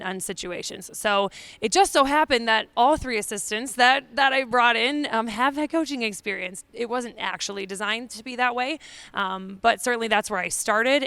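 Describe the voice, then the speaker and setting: A woman's voice: mid-range voice, sharp tone, clear, resonant tone, dry recording. An American woman, a college basketball head coach, talking enthusiastically and conversationally in a sit-down interview.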